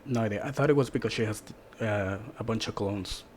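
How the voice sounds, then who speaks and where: A man with a slightly low voice chats casually as he reacts to game clips. He talks as an informal co-commentator, with faint noise in the background. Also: fairly quick, darkish tone.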